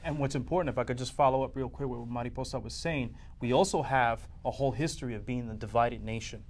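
A man in his thirties argues his point as a guest on a televised panel. His voice sits at a middle pitch, and he talks emphatically, often restarting and repeating words. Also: fast talker. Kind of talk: panel discussion. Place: TV studio.

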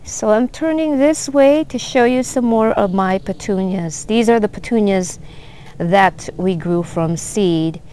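A woman gives an unhurried, admiring tour of her backyard garden, filming on a handheld phone outdoors. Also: medium pitch, mellow, a little hiss or hum. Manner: unhurried, admiring, many fillers. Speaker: gardener vlogger. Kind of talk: casual garden tour narration.

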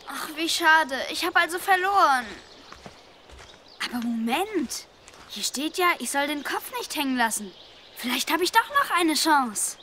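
A plucky little boy in a children's radio play. The voice is extremely high and earnest, with clear outdoor noise effects behind it. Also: sharp tone, exaggerated voice. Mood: puzzled, hopeful. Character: eager, plucky young boy on a scavenger hunt. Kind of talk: children's audio drama acting.

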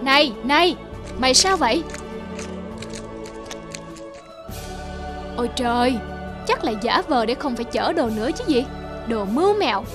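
A snooty cartoon horse of noble birth, speaks high and pompously, scolding with open scorn. Music plays clearly under the voice. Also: female voice, expressive, a little fast. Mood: impatient scolding.

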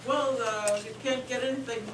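A woman speaking from the floor at a meeting in a restaurant, halting and indignant, with many pauses. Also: fairly high pitch, fairly even intonation, heavy background noise. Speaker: audience speaker. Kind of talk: impromptu floor speech. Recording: distant, echoey room with loud dish clatter.

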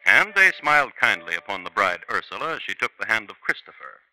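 A man's voice: lowish voice, sing-song, fairly quick, sharp tone, poor audio. Wry old-time radio narrator, man. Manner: dry, ironic, storytelling. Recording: vintage radio broadcast with music.

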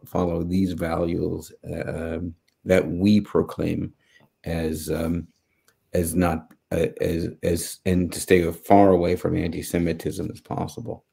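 A middle-aged man, explaining in a measured way as a panelist on a video call. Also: sits low, vocal fry, warm tone, husky. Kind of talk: casual panel discussion. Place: home office.

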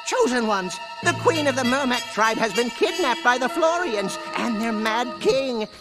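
A man's voice: very high voice, animated, measured pace. A man voicing a wise old creature guide in a video game, grave, urgent and portentous. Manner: portentous, storytelling. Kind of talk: video game cutscene acting. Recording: music behind the voice.

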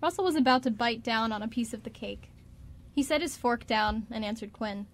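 A woman narrates a radio drama from a script. Her voice sits slightly on the high side as she tells the story between the characters' lines. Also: moderate pace, slight background noise.

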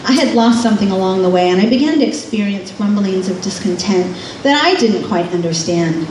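A woman's voice: medium pitch, dark tone. American woman reading aloud, roomy distant sound. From California, United States. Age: middle-aged. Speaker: author and musician reading from own memoir. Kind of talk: live scripted memoir reading. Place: on stage in a music club. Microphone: stand microphone through PA, recorded by distant camera. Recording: roomy, distant camera pickup, faint noise. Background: faint room noise and ambience.

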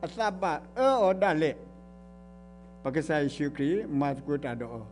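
A middle-aged man preaches with a Karen accent, his voice sitting fairly high for a man. He swings between ringing exclamations and hushed, earnest passages while gesturing. He speaks close to a stand mic on a church stage. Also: lively intonation, measured pace, soft background music. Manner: impassioned, swinging between loud exclamations and quiet speech, gesturing. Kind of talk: sermon. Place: church stage before blue curtain. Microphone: stand mic close to mouth.

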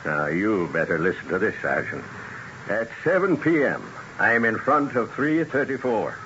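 Streetwise beat cop, indignant, clipped phrases, vintage radio drama, faint noise. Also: male voice, lowish voice, sing-song, moderate pace, fairly bright tone, dull audio. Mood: indignant, defensive. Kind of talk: 1970s radio drama acting.

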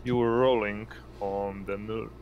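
A man running an online tabletop game as game master, speaking haltingly and hesitantly. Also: on the low side, little pitch movement, highs cut off, background noise. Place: indoors at home, online voice call.

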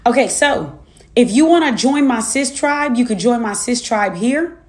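A woman's voice: fairly high pitch, animated, measured pace, roomy sound. A woman in her twenties, a minister and life coach, preaching her testimony to viewers in an emphatic, repetitive cadence. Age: 20s.

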